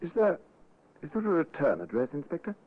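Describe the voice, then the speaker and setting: An actor playing a polite, shocked and grieving husband, acted emotionally for an old radio drama. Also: male voice, fairly high pitch, huge pitch swings, brisk. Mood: anxious, subdued. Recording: muffled, lo-fi old radio recording.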